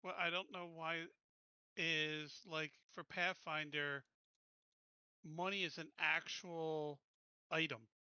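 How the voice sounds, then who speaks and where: A man with a very forceful, bright tone and clean delivery explains technical steps casually. He takes his time and instructs one step at a time. The sound is dry and a little band-limited, like voice chat. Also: on the high side, steady tone, takes it slowly, pauses often, clear, resonant tone, no room sound, highs cut off. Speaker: player in an online tabletop game group.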